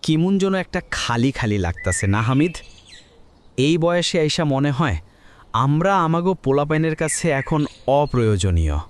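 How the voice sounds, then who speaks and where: A man's voice: a little high, very animated, normal speed, a little hiss or hum. An actor playing a melancholy old village man in a cartoon, wistful and reflective, with a rural Bangladeshi Bengali accent. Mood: wistful, sad. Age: older. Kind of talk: cartoon voice acting.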